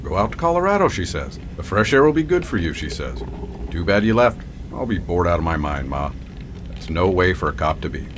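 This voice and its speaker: A man's voice: sits low, very animated, brisk, noisy background. A man acting as a wry, folksy, kindly small-town sheriff in a radio drama. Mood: grumbling, exasperated.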